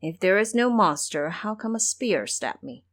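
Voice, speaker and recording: A woman's voice: mid-range voice, sing-song, normal speed. A woman voicing a lazy, self-pitying pig-man fool in an audio drama, grumbling and exclaiming indignantly. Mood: sulky, annoyed. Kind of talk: audio drama character acting.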